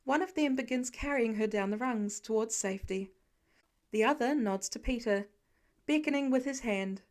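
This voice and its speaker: A woman's voice: fairly high pitch, normal speed, darkish tone. A woman reading scripted story narration as an audiobook-style narrator. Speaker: podfic narrator.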